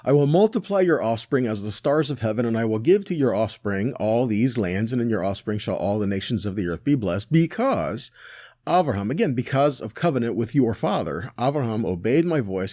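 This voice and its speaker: A man teaching scripture in a conversational tone, his pitch rising and falling animatedly as he reads verses and then paraphrases them. He asks rhetorical questions and checks in with "right?" often. The recording is dry and close, with no room sound. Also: expressive, normal speed, a little mellow, no room sound. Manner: rhetorical questions, self-corrections. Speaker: teacher of a weekly Torah portion.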